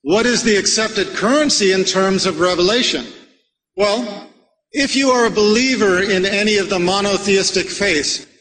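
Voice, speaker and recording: Male preacher from America, measured rhetorical lecture style, long reverb of a hall around him. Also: sits high, a bit bright. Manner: measured, rhetorical, addresses the audience with questions. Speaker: Islamic preacher. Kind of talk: religious lecture to a live audience.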